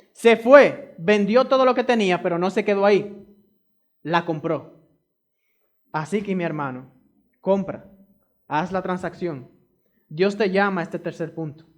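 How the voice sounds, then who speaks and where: Male preacher, high voice, emphatic delivery, repeats his lines to the flock. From Dominican Republic. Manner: emphatic, repeats key phrases; addresses the congregation directly. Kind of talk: sermon.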